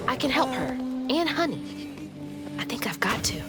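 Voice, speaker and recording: An actress playing a compassionate, determined young woman in a video game, informal and expressive. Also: high voice, moderate pace. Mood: shaken, resolved. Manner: expressive, informal, talking to herself. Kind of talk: video game cutscene acting and narration. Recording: loud music under the voice.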